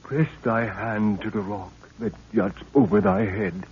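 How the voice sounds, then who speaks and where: An actor playing a devoted old palace servant who speaks with solemn, archaic formality in 1930s radio serial style. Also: male voice, medium pitch, sing-song, a little slow, creaky, exaggerated voice. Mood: hushed, grave. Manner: formal, archaic thee-and-thy phrasing. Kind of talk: 1930s radio adventure acting. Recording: tinny, noisy 1930s radio recording.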